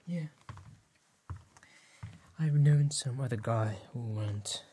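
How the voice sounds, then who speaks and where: A man, playing a polite, attentive tailor in a gentle, whispered and soft-spoken ASMR roleplay, with hesitant pauses. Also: mid-range voice, slight background noise. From France. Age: 20s. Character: polite, attentive bespoke tailor serving a client. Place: small dim tailor's workshop set, indoors.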